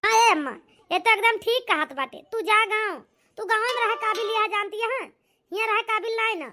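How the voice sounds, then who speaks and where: A woman voicing a harsh, scolding, ungrateful grown daughter in an amateur cartoon, with an Awadhi accent, a bossy manner and a pitched-up voice. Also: fairly quick. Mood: scolding, dismissive. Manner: sharp, bossy, contemptuous. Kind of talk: amateur cartoon comedy-drama acting.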